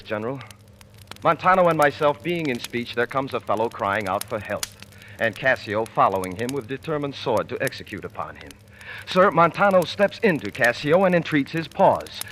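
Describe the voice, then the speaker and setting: An actor playing a scheming soldier who feigns hearty honesty, with insinuating, theatrical Shakespearean delivery in old-time radio style. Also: male voice, mid-range voice, lightly acted. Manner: theatrical, insinuating, shifting from hushed to urgent. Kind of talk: 1940s radio acting of Shakespeare. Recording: noisy vintage radio recording.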